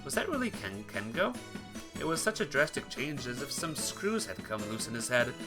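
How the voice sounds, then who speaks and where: Expressive man reading a story aloud over music. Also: medium pitch, normal speed. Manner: stumbles over words and corrects himself. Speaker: let's player reading a visual novel aloud. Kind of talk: narrated read-aloud of game text, acting out dialogue. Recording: music playing behind the voice.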